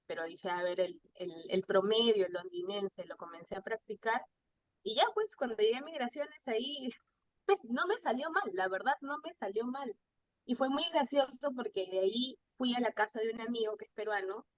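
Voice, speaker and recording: A woman's voice: medium pitch, low-quality recording. A woman with a Peruvian Spanish accent talking casually as an interview guest, telling anecdotes in a chatty way and acting out bits of dialogue. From Peru. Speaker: guest, pastry chef. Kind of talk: casual conversational storytelling.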